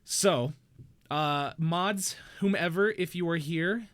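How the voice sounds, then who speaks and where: A man's voice: fairly high pitch, slow pace, a bit bright. Upbeat young man hosting a stream. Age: young adult. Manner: rambling, self-deprecating, upbeat. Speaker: stream host. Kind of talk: casual livestream chat.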